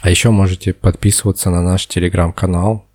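Male co-host, 30, teasing casual banter; mellow, slightly raspy tone. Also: deep voice, flat delivery, fast, no room sound. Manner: joking, teasing. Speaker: podcast co-host. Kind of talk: casual banter among co-hosts.

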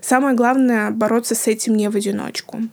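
Female podcast guest, flat delivery with vocal fry, slow and earnest, soft whispered trailing ends. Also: a little high. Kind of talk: reflective podcast conversation.

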